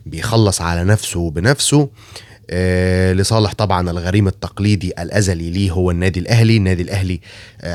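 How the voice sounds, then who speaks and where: Low-voiced Egyptian man with a cold. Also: darkish tone, no echo. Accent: Egyptian Arabic. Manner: critical, with many filler sounds and restarts. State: has a cold, hoarse. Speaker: football commentator. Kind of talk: casual opinion monologue.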